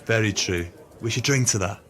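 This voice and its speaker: An actor playing a jealous, scheming swindler in an audio play, mock-genteel and bossy. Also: male voice, fairly low pitch, a little fast, a little hiss or hum, close to an everyday voice. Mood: falsely agreeable. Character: scheming, jealous swindler, impatient and falsely agreeable.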